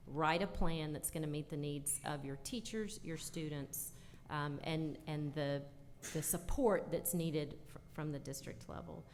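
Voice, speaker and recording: A woman's voice: low-pitched, a little mellow. A woman speaking as a panelist, explaining her points with emphasis. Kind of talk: panel answer, explanatory talk. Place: conference session room with hall reverb. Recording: echoey hall with background noise.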